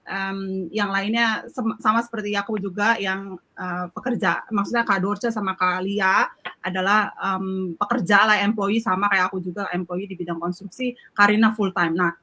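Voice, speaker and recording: A woman's voice: medium pitch, steady tone, normal speed, pushed and bright. A woman hosting an online group chat, chatty and friendly, mixing English words into her talk. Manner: friendly, chatty, laughs easily. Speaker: host.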